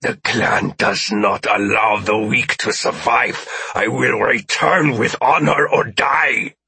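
A man voicing a volatile alien warrior captive who growls blunt, halting broken English in an audiobook. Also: on the low side, steady tone, gravelly, sharp tone, slightly rough, dry recording, exaggerated voice. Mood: grim resolve. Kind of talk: audiobook character voice.

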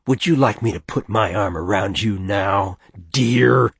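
A man voicing a gruff, short-tempered farmer father in a storybook reading, emphatic and contemptuous. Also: mid-range voice, fairly quick, dry recording, voice pushed up, natural voice. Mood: mincing, nasty.

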